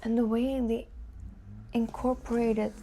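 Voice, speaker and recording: A woman's voice: on the high side, flat delivery, unhurried, dark tone, a little hiss or hum. A woman book vlogger chatting casually and informally to camera, musing aloud with some hesitation. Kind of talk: casual chat to camera, answering tag questions.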